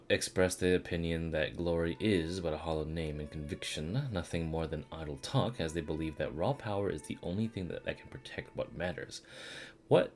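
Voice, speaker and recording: Very low-voiced man livestreaming a game. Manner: informal, profane, thinking aloud. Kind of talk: casual stream chat with gameplay commentary. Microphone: streaming microphone. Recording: music playing behind the voice.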